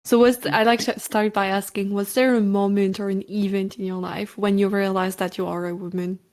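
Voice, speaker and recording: A young woman hosting a podcast, with a medium-pitched voice. She is friendly and upbeat, but her questions stop and restart now and then. She comes across as casual and easy to talk to. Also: level tone, fairly quick, darkish tone. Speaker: podcast host. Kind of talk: casual interview.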